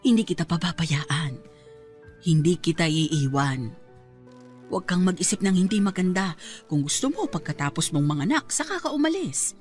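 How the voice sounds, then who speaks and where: A woman's voice: fairly low pitch, animated, normal speed, a little mellow, soft background music, lightly acted. A woman with a Filipino accent playing a worried, protective mother in a radio-style drama, pleading and reassuring. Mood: soothing, pleading.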